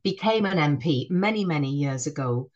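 A middle-aged woman with a Northeastern English accent and a somewhat low voice. She talks as a guest in a podcast interview, reflective and unhurried, often doubling back and restarting her sentences as she remembers. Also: animated, muffled recording. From Northeast England. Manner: warm, reflective, frequent restarts. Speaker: head teacher, guest.

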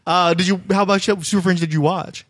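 A man's voice: sits high, fairly even intonation, fairly quick. A man speaking as a podcast host, moving between announcements and casual interview talk. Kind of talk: casual interview and show credits.

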